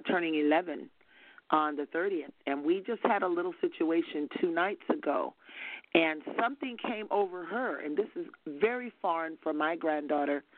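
An older woman minister, soft-spoken but fervent, telling a story as testimony on a call-in broadcast. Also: lowish voice, no echo. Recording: phone-line audio.

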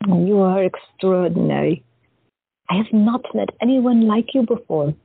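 A woman's voice: mid-range voice, expressive, unhurried, creaky, breathy edge, no echo, full character voice. A woman playing a shy woman patient who has visions, speaking hesitantly and with wonder. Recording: dry, tinny phone-like audio.

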